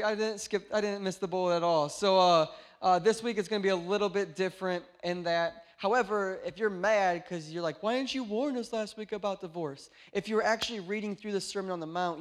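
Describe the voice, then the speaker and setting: A man in his late twenties preaching a sermon in a church, joking and telling animated stories. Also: sits high. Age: 20s. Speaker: pastor.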